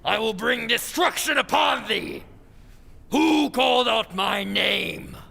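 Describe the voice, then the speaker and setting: A woman's voice: on the high side, animated, slow pace, vocal fry, pushed and bright, full character voice. A woman playing a vengeful, menacing male ghost knight in an old-time radio drama, declaiming in archaic speech. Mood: booming wrath. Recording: reverberant hall sound.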